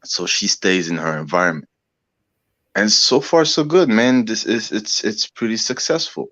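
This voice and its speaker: A man in his thirties, raised in France, speaking English as a second language while a little sick, halting and searching for words in a casual discussion. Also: lowish voice, expressive, a bit bright, dry recording. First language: Algerian family language, not English. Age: 30s. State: sick, coughing. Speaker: guest. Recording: dry, dull lo-fi audio.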